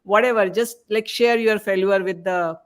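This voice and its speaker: A man's voice: high-pitched, normal speed, clear, resonant tone. A man on a podcast panel from the organizing group, speaking with frequent fillers. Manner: flat delivery, frequent fillers. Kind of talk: casual podcast panel talk.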